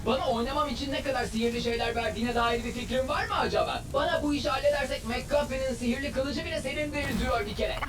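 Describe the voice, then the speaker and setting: High, cocky cartoon boy apprentice played by an actor. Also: fast talker, few pauses, very noisy, natural voice. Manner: flippant, boastful. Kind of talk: dubbed cartoon acting. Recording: roomy, distant, loud music behind.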